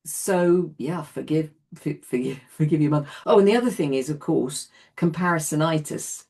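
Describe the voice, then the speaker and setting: A woman's voice: low-pitched, normal speed, gravelly, warm tone. A woman teaching an online class over a video call, reassuring and often affirming with "yeah". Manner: reassuring, repeats "yeah", self-deprecating laughs.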